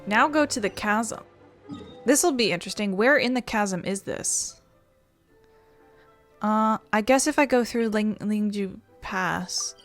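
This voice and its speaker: A woman's voice: mid-range voice, slow pace, pauses often. Female gaming host, mid-range voice, casual and rambling, faint music underneath. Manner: rambling, thinking aloud. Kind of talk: casual let's play commentary.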